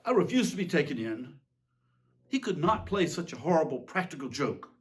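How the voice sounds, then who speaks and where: A man's voice: fairly high pitch, big pitch swings, normal speed. A man playing a weary, self-pitying tyrant king in a theatrical monologue, fussy, pompous and plaintive. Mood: petulant, indignant. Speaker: reader giving a dramatic reading. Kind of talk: theatrical dramatic monologue reading.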